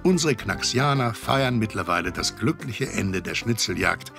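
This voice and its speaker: A storyteller with a low voice and some gravel in it. He narrates a children's adventure radio play over soft music. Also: lively intonation, normal speed. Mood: storytelling.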